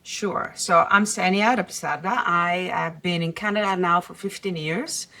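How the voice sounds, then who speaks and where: Woman, Italian accent, lowish voice, middle-aged, measured pace with pauses, podcast guest. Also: steady tone, creaky, sharp tone. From Italy. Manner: measured, explanatory, with frequent "uh" pauses. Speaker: guest investor. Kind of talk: podcast interview.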